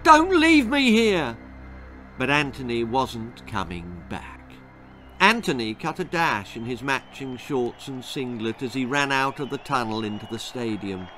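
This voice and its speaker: An older man reading his children's story aloud in an expressive, dramatic narrating style. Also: medium pitch, unhurried, soft background music. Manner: expressive, theatrical. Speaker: author reading own story. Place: small book-lined room indoors.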